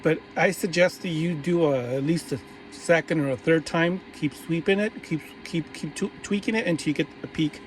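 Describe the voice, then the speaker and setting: A man casually narrating a hands-on radio repair tutorial while he works, relaxed and restarting his phrases often. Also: on the high side, moderate pace, low-quality recording. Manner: relaxed, talks while working, frequent restarts. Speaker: vintage radio repair hobbyist. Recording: audible background noise.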